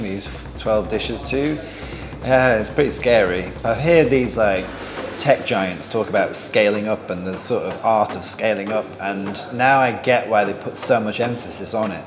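A man in his thirties, a head chef, talking candidly in an interview and laughing at himself now and then. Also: fairly low pitch. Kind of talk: reflective interview. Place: large bright restaurant dining room. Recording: reverberant room with clear background noise.

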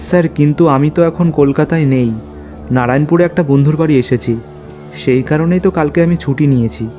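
A man's voice: fairly high pitch, fast, dark tone, slight background noise, close to an everyday voice. A man playing a young, friendly, dutiful reporter in casual cartoon story dialogue. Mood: deferential.

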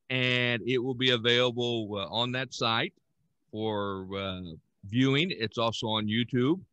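A man's voice: fairly low pitch, a bit bright, no echo, highs cut off. An older man hosting a podcast in casual, rambling talk with chuckles, speaking over a headset on a video call. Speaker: podcast host. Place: room at home.

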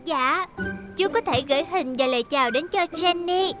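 Cartoon ambulance girl: extremely high, caring, reassuring, with clear music underneath. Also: moderate pace. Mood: helpful, bright. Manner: polite, reassuring. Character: caring, helpful young female ambulance character, polite. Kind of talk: dubbed children's cartoon acting. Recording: children's music behind the voice. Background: children's music.

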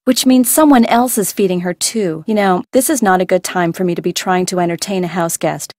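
A woman's voice: on the low side, a little fast, a little mellow, no room sound, natural voice. Earnest, slightly frazzled young scientist, a woman voicing her for a game; dry studio take. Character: young woman scientist hosting a guest on an island. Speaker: game character. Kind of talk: video game voice acting.

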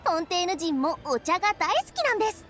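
An actress playing a polite, perky young newspaper reporter in an anime game. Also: female voice, extremely high pitch, animated, fast, a bit bright, faint music behind. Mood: cheerful, polite. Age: young adult.

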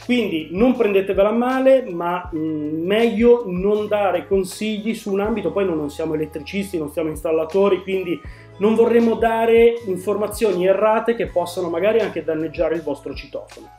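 A man's voice: sits high, normal speed, a little mellow. A man, presenting a product to camera in a casual, explanatory way. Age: 40s. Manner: explanatory, conversational. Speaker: tech channel host. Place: small room at home, indoors. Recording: echoey room, music underneath.

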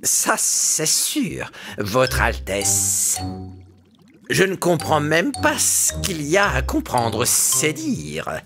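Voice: mid-range voice, very animated, extremely slow, fairly bright tone, soft background music, full character voice. A voice actor playing a dim-witted servant serpent in a cartoon, confused and bumbling. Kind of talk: cartoon voice acting.

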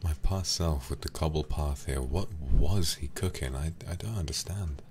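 A man streaming a game ASMR-style into a close microphone, speaking softly and intimately with frequent whispering, in an affectionate, languid manner. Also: very deep voice, moderate pace, slight background noise. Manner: breathy, often whispered, intimate; affectionate, doting toward viewers. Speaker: livestreamer.

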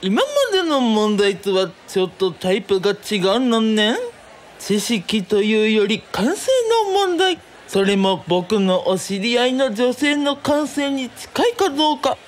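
A woman playing a flamboyant, excitable male TV quiz host in a radio drama, theatrical, teasing and gushing. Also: on the high side, a little slow. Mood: showy, excited. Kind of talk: radio drama acting as quiz show emcee.

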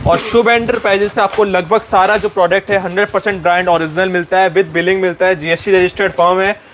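Brief: male host with a high voice, upbeat salesy warehouse walkthrough, faint background noise. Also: fairly even intonation, fast talker, fairly bright tone. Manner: upbeat sales patter, addresses viewers directly. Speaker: YouTube host. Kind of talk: promotional warehouse tour.

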